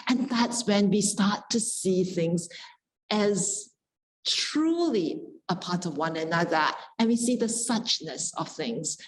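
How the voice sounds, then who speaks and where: A woman speaking as a panelist, reflecting in a measured, contemplative way with deliberate pauses. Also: mid-range voice, poor audio. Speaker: panelist, Buddhist practitioner.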